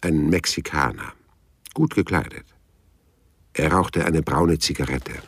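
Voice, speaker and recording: Very deep gravelly private eye. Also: male voice, unhurried, a bit bright, slight background noise, heavily acted. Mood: weary, bitter. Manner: dry, laconic. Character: weary cynical male private detective narrating his own case. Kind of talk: radio play narration and dialogue.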